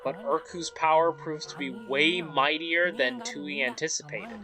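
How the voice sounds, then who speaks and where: A woman's voice: deep voice, a little slow. A woman chatting casually and jokingly as she hosts a video game let's play. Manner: conversational, animated, chatty. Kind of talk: casual jokey game commentary with acted asides. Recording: game music behind the voice. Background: video game music.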